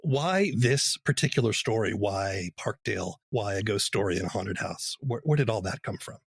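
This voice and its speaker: A man's voice: fairly low pitch, expressive, moderate pace, vocal fry, breathy edge, dry recording. A man hosting a casual interview, asking questions and commenting conversationally. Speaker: interviewer, host.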